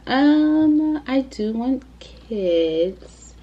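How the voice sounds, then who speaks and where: A woman's voice: sits high, lively intonation, very slow, dull audio. A woman in her twenties chatting casually to the camera for her video Q&A, rambling with lots of fillers. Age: 20s. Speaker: YouTuber. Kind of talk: casual Q&A vlog.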